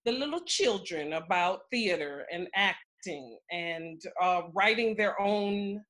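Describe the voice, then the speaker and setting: A woman hosting an interview, leading her guests with warm encouragement and frequent small interjections. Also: fairly low pitch, expressive, takes it slowly. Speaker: host.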